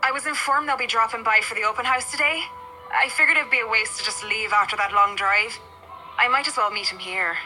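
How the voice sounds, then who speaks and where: A woman voicing a composed, businesslike female client in a formal, measured way. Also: fairly high pitch, bright, forceful tone, soft background music, low-quality recording.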